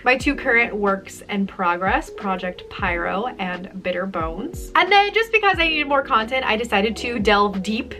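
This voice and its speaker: A young woman hosting a casual vlog as an author, talking in a jokey, self-deprecating way with animated asides. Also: a little high. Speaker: author and video host. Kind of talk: casual chatty vlog to camera.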